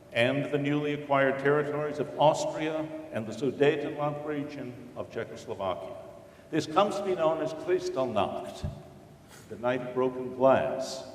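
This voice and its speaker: Male reader, mid-pitched, measured and formal historical narration, picked up at a distance in a hall. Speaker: reader in a dramatic reading. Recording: distant mic in echoey hall.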